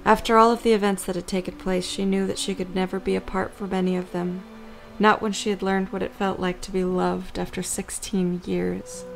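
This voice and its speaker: A woman reading scripted story narration for an audio drama in a measured, creaky voice. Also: mid-range voice, little pitch movement, soft background music, poor audio.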